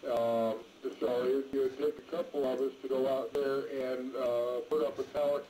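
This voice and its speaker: A man's voice: medium pitch, little pitch movement, normal speed, dark tone. Folksy man on noisy ham radio. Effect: two-way radio transmission. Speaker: amateur radio operator. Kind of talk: casual ham radio chat. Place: mobile, in a pickup truck.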